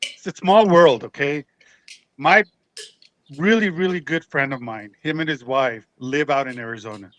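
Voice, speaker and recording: A man's voice: a little high, normal speed, fairly bright tone, no room sound. A man hosting a casual podcast, chatting in an easygoing, slangy way. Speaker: podcast host.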